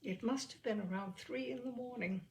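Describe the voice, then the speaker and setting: A woman reading a literary story aloud as an expressive narrator. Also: on the high side, moderate pace, slight background noise, poor audio. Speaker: storyteller, reader.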